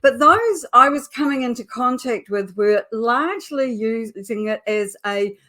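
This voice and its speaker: A middle-aged woman with a New Zealand accent, hosting a live online business show and opening it with a measured, emphatic scripted introduction. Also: on the high side, animated, pushed and bright. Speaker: host.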